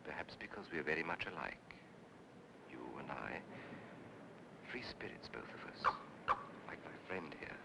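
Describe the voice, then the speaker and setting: Deep-voiced, mysterious noir psychic. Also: male voice, sing-song, creaky, exaggerated voice. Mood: seductive, musing. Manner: theatrical, measured, philosophical. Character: suave, mysterious male psychic consultant, softly seductive and insinuating. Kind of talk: 1940s film noir acting. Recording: noisy old film soundtrack with rumble.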